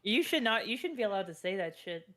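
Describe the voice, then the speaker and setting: A young woman guesting on a stream over a voice call, loud, playful and quick to laugh. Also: mid-range voice, very animated, crowd noise. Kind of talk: casual chat over voice call. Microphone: voice call mic.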